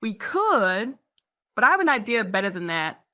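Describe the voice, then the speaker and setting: A woman's voice: mid-range voice, sing-song, moderate pace, lightly acted. A woman voicing a cocky, reckless young girl in a comic dub, breezy and argumentative.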